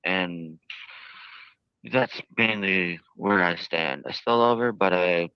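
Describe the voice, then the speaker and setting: A man giving interview answers in a halting, earnest way with stiffly formal phrasing. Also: low voice, smooth, no echo. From United States. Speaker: jail inmate being interviewed. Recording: dull lo-fi archived interview audio.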